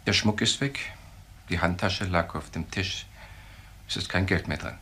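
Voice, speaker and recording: An actor playing a composed, authoritative professor in a radio crime drama, speaking formally with measured pauses. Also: male voice, deep voice, pushed and bright, slight background noise. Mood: controlled, grave. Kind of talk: naturalistic German radio crime drama acting.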